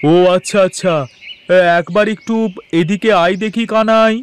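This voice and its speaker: A man's voice: high-pitched, fairly even intonation, moderate pace, clean tone, close to an everyday voice. A man playing a landlord's wheedling, haggling village errand runner in a Bengali cartoon. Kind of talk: Bengali moral cartoon voice acting.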